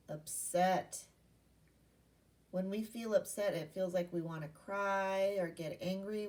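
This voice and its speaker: A woman's voice: on the low side, darkish tone, distant mic. A woman primary school teacher giving an interactive read-aloud lesson to young children, asking questions and repeating key points in an instructional way.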